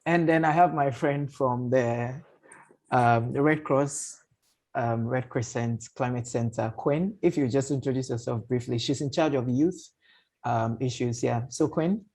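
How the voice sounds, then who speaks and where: A man's voice: medium pitch, measured pace, darkish tone. A man hosting an online panel discussion, informal and chatty, rephrasing as he goes. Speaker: host, panel moderator. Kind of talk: casual chat, moderating an online discussion. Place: online video call.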